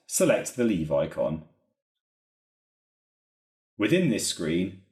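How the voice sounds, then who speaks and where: A man narrating a software training walkthrough in a methodical, explanatory way. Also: deep voice. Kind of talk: instructional screen-recording narration. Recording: roomy, echoey voice recording.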